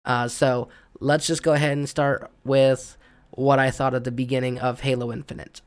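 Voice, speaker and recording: A man hosting an unscripted gaming opinion vlog, hoarse and raspy from being sick, rambling and stumbling with lots of ums. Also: mid-range voice, little pitch movement, moderate pace. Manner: looks around rather than at the camera. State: hoarse from illness. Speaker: gaming video host.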